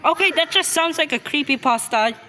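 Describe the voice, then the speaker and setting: A woman's voice: high-pitched, animated, bright, forceful tone, slight background noise. A woman giving casual, off-the-cuff reaction commentary in a blunt, argumentative way, often stumbling and repeating words. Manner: blunt, halting and repetitive, argumentative. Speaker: reaction video host.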